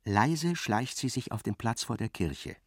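A man reading scripted literary narration in a radio play, with expressive, dramatic storytelling. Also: fairly low pitch, a little slow, no room sound, studio quality.

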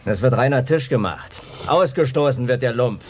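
An actor playing a loud, hot-headed village brigade leader in a German radio drama, accusatory and emphatic. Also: male voice, mid-range voice, animated, normal speed, breathy edge. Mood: threatening, angry.

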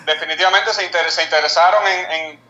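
Stammering musician on an echoey line. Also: male voice, high voice, fairly even intonation, fast talker, dull audio, noisy background. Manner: stammering restarts. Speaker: band member guest. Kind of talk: casual interview answers.

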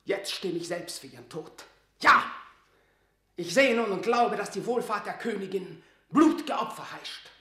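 An actor playing a stern, calculating, proud lord at court in a classical radio drama, declaiming forcefully. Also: male voice, sits high, lively intonation, a little slow, reverberant, exaggerated voice. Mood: resolute. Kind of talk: classical German stage-verse radio drama.

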